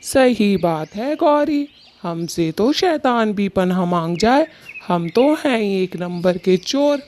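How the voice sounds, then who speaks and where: A woman voicing a sly, boastful male bird thief, an agreeable husband in a children's cartoon, talking slowly and smugly. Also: mid-range voice, expressive, darkish tone, a little hiss or hum. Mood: smug, pleased. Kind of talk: Hindi children's cartoon dialogue.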